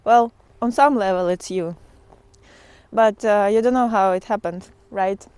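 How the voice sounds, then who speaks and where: A woman speaks at a slow pace in a medium-pitched voice, with very little room sound. She talks like a spiritual vlogger thinking aloud, casual and unscripted. Her delivery is reflective and halting, with pauses between short phrases. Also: darkish tone, clear, resonant tone, dry recording.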